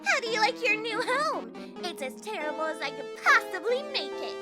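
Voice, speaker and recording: A woman voicing a giant dark goddess in a comedic fantasy drama, grandiose and gleefully villainous yet harmless and naive. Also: very high-pitched, sing-song, moderate pace. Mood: gleefully cruel. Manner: grandiose, theatrical gloating. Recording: music playing loudly behind. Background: loud music.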